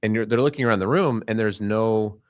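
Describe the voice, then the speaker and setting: A man's voice: on the low side, brisk, smooth, no room sound, studio quality. A man co-hosting a podcast, talking in a loose, rambling way with frequent restarts. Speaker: podcast co-host. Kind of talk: casual podcast chat.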